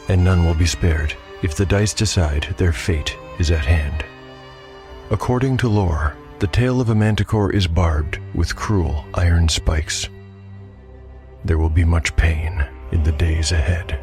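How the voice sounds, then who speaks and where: Male narrator-GM, low voice, measured grave delivery, music underneath. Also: darkish tone. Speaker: host and solo game master. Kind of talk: dramatic fantasy narration with dice commentary.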